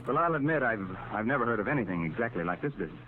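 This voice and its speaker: An actor playing a thoughtful, grave man who explains things in measured phrases, in a 1940s radio drama. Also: mid-range voice, lively intonation, narrow, tinny audio, close to an everyday voice. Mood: explaining. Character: thoughtful, grave male amateur psychologist advising police. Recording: faintly noisy old radio recording.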